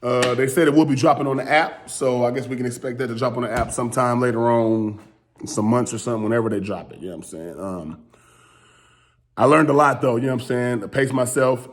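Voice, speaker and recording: A man and battle rapper with a strained, hoarse voice, recapping his round in loose, rambling casual talk. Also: mid-range voice, fairly quick. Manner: loose, rambling, repeats "you know what I'm saying". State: losing his voice.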